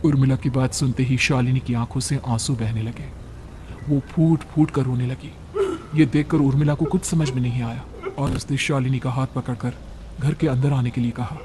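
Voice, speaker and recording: A man's voice: medium pitch, steady tone, normal speed, background noise. A man narrating a scripted story in a dramatic storytelling style.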